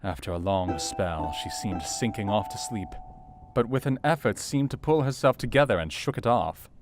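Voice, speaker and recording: Earnest Victorian doctor, low voice, measured formal diary narration, radio drama, caring, guitar music behind. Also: male voice, animated. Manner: measured, formal, literary delivery. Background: plucked guitar music.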